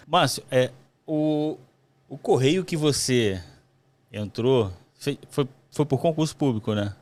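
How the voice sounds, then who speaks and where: A man's voice: medium pitch, slow, a little mellow. A Brazilian man with a Rio de Janeiro accent, hosting a podcast and interviewing in a conversational way. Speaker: podcast host, letter carrier.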